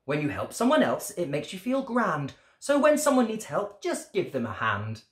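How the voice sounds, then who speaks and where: A woman's voice: deep voice, wildly swooping pitch, normal speed, echoey room. A woman voicing a kind, brave male storybook mouse in an earnest, encouraging manner.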